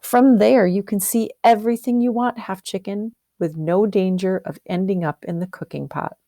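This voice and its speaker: A woman voicing a helpful, grateful wind spirit in a storybook, measured and reassuring. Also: mid-range voice, steady tone, mellow, no echo, clean studio sound, natural voice. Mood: kindly.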